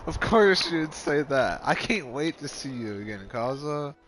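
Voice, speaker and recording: Man, gamer commentary, very slow-paced, informal, self-muttering, clear background game music. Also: a little high, animated, fairly bright tone. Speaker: gaming video host. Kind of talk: casual let's play commentary.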